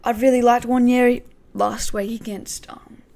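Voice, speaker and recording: A woman hosting a casual football podcast, her voice croaky, thinking aloud with many hesitations. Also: a little high, steady tone, normal speed, mellow. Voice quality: gravelly. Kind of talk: casual pre-match football talk.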